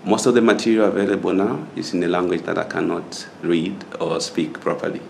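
A young man from Zambia answers interview questions in a very deep, gravelly voice, pausing often with fillers. Faint noise hums behind him. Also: level tone. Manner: hesitant, many filler pauses. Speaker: student taking a mock speaking test.

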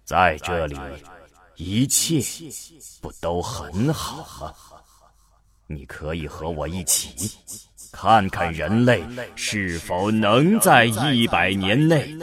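A man playing a calm, patient godlike alien mind in an audio drama, speaking gravely and deliberately. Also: low voice, a crowd in the background. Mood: persuasive.

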